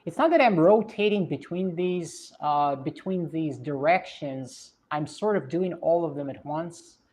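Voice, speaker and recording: A woman's voice: sits low, darkish tone. A woman from Russia, a native Russian speaker with a mixed Russian and British English accent, chatting thoughtfully on a livestream with many hesitations and restarts. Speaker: streamer answering viewer questions. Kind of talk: casual livestream chat.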